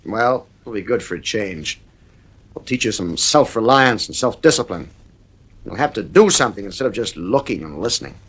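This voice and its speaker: A man's voice: fairly low pitch, expressive, normal speed, a bit bright, husky. An actor playing a stern, worried middle-aged father in a radio drama, firm and exasperated. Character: stern, worried father at his wits' end.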